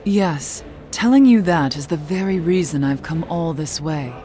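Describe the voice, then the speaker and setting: A woman playing a mysterious cursed witch in a video game, speaking in a grave, composed, measured way. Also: low-pitched, faint music behind. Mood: resolute. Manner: measured, grave, quietly dramatic. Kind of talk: video game cutscene acting.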